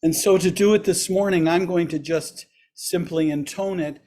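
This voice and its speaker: A man with a raspy, hoarse voice leading a Buddhist service online, slowly intoning chants and gentle instructions. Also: high-pitched, fairly even intonation. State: hoarse after surgery. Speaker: Buddhist teacher leading practice. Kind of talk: leading a chanted metta practice.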